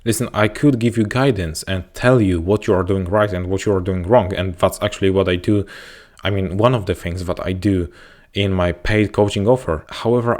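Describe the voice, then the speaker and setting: A man's voice: lowish voice, a little mellow. A man from Poland with a Polish accent, speaking English as a second language while coaching viewers to camera in an earnest, repetitive, motivational way. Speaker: YouTube growth coach. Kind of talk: direct-to-camera advice talk. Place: indoors, talking to camera.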